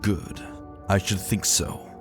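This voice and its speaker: A man's voice: low voice, little pitch movement, slow, music in the background. A man voicing a proud, contemptuous young stallion prince in a dramatic reading, snarling and spitting his words with a hot temper. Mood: indignant. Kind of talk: dramatic fan fiction reading.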